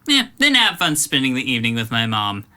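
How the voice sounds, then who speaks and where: Male actor as surly teenage cousin: very bright, forceful voice, blunt, sneering, foul-mouthed. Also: mid-range voice, huge pitch swings, brisk, exaggerated voice. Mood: wary, mocking. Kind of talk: audio drama dialogue.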